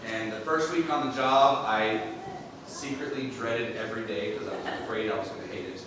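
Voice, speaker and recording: A man teacher with a loud, boisterous voice, telling a story to an audience at a school ceremony. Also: mid-range voice, a little fast. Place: church hall. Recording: echoey hall, far from the mic, noise.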